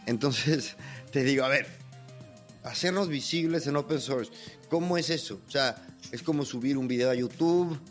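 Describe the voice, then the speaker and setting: A man's voice: mid-range voice, moderate pace. Male host, curious and playful, interrupts to ask questions; light music bed.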